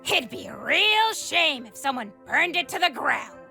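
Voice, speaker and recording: A woman's voice: high-pitched, very animated, measured pace, vocal fry, sharp tone, soft background music, exaggerated voice. A woman voicing a brash, cocky young female cartoon troublemaker with a mocking, swaggering manner, in a comic dub. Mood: dismissive, cocky. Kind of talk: animated comic dub acting.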